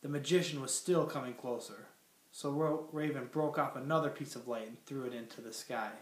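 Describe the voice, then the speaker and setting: A man's voice: mid-range voice, brisk. Man with a cold teaching a class. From Minnesota. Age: young adult. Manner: plain teacherly reading, many fillers. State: has a cold. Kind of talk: classroom lesson and story read-aloud. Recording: roomy sound from far off.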